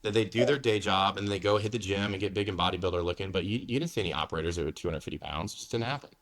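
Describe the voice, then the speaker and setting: A man's voice: low voice, rapid-fire, a bit bright. A man in his forties from the United States, talking candidly as a fitness coach in a casual interview, dropping "man" and "you know" often. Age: 40s.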